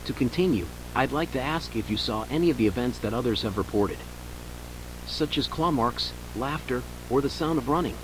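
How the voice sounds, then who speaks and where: Casting: man to play composed official investigator for horror audio drama, mid-pitched, formal questioning, noticeable hum and hiss. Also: expressive, moderate pace, close to an everyday voice, poor audio. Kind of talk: acted interview in an analog horror audio drama.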